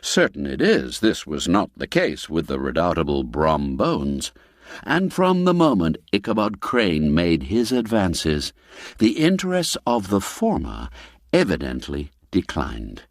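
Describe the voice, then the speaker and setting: A man's voice: low voice, huge pitch swings, a little slow, dry recording, clean studio sound. A man narrating a classic tale in a theatrical, dramatic manner. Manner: theatrical, dramatic swings in emphasis. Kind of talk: scripted storybook narration.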